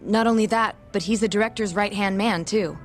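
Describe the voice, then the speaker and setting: A woman's voice: medium pitch, a little fast, soft background music, natural voice. A woman playing an earnest, guarded young nurse in an English-dubbed game drama, speaking precisely and formally.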